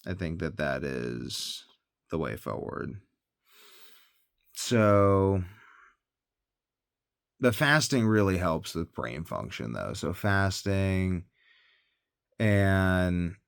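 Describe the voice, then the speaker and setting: A man's voice: low voice, vocal fry, smooth, dry recording, studio quality. A tired man talking in a casual, rambling monologue as a health vlogger, hesitant and thinking aloud, often trailing off.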